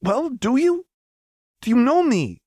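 A man's voice: very high voice, very animated, takes it slowly, darkish tone, breathy edge, no room sound, voice pushed up, exaggerated voice. A man voicing an awkward, earnest young male fighter in an audiobook, hesitant and stammering. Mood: urgent, incredulous.

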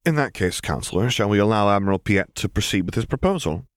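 A man's voice: lowish voice, animated, quick pace, dry recording, studio quality, natural voice. A man voicing a poised, politely steely princess and stateswoman with a composed, diplomatic manner, in an audiobook. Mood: sweetly firm. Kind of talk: audiobook character voice.

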